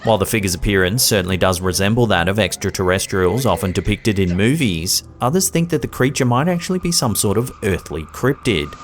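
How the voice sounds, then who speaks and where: A man reading scripted narration in a measured, suspenseful way. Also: lowish voice, animated, a little hiss or hum.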